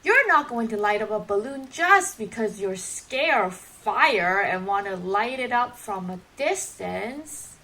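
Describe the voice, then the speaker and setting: A woman's voice: medium pitch, expressive, unhurried, few pauses, sharp tone, poor audio. A woman playing a chiding, emphatic co-host on a children's Bible puppet show, speaking in an instructive way. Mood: teasing, correcting.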